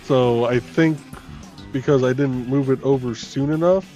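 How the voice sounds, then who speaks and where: A man co-hosting a live stream, calmly explaining a technical problem in casual chat. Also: medium pitch, measured pace, faint music behind. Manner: relaxed, explains technical issues. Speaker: co-host handling stream tech.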